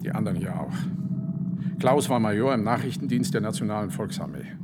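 A man playing a brisk, streetwise, reassuring friend from East Germany in a radio drama. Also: lowish voice, close to an everyday voice. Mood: reassuring, firm. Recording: loud background noise, vehicle ambience.

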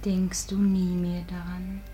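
An actress playing a tender, wounded young former sweetheart, speaking in a soft, lingering way in a 1940s verse radio drama. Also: female voice, lowish voice, monotone, slow pace. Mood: wistful, hurt. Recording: old 1940s radio recording, faint music.

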